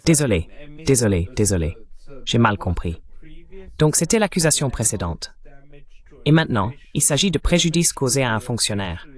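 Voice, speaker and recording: A man's voice: medium pitch, very animated, normal speed, pauses often. A man hosting an interview who asks probing, clarifying questions, voiced over in French. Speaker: interviewer, host.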